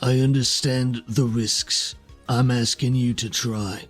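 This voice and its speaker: A man's voice: mid-range voice, fairly even intonation, slow, faint music behind. A man voicing a weary, guarded soldier in heavy armor, speaking bluntly and tersely in a tabletop roleplaying game. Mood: terse, insistent.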